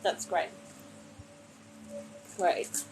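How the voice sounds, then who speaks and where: Gentle woman giving a tarot reading. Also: drawn-out words, over music, poor audio. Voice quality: gentle, soothing, calls listener honey. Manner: meandering, many fillers and restarts. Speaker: tarot card reader. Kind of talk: live-streamed card reading to a viewer.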